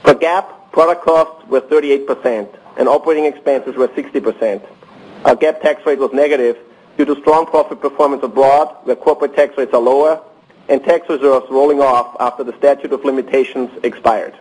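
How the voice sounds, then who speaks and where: A man reading prepared financial results on a company conference call, in a measured, formal, businesslike way. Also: medium pitch, darkish tone, husky, low-quality recording.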